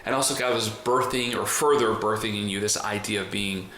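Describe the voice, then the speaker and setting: A man's voice: on the low side, steady tone, brisk, sharp tone. A man hosting a conversational podcast interview, talking in a chatty, wordy way. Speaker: podcast host and trainer. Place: indoor room in the US. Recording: roomy echo, faint background noise.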